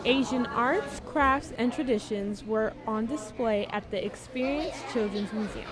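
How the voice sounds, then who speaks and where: A young woman reporter reading scripted local news stories with a crisp delivery and sign-offs. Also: a little high, measured pace, crowd noise. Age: young adult.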